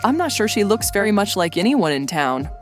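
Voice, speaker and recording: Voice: mid-range voice, very animated, fairly quick, voice pushed up, lightly acted. Casting: narrator to play mild, hesitant friend for audiobook, raised voice in reverb, music behind. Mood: doubtful. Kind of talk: audiobook character dialogue.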